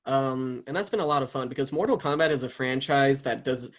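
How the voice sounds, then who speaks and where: A man's voice: medium pitch, a little fast. A man hosting a casual interview, talking at length in an enthusiastic, chatty way. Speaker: interviewer and series writer.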